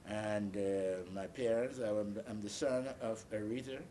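An elderly man recalls his life in an interview, speaking as a deliberate, halting storyteller who repeats and explains. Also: lowish voice, steady tone, mellow, slight background noise. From Bahamas. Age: 80s or older. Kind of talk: oral history recollection.

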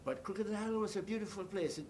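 An older man giving an oral history interview, telling his story haltingly and searching for words. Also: a little mellow. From Bahamas. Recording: clearly noisy, low rumble behind.

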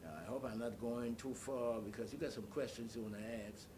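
Older male interviewee, halting storyteller, mid-pitched voice, noticeable rumbling noise on the recording. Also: fairly even intonation. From Bahamas. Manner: storytelling, halting, searching for words. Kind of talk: oral history reminiscence. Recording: clearly noisy, low rumble behind.

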